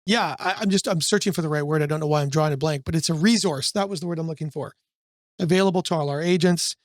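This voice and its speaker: A man on a brokerage podcast, with a voice sitting a touch above the usual male range and a slight hoarseness from a cold. He talks in a loose, rambling way, full of fillers and restarts. The sound is close and dry. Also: on the high side, quick pace, dry recording. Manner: rambling, searching for words, many fillers. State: losing his voice from a cold. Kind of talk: casual business podcast chat.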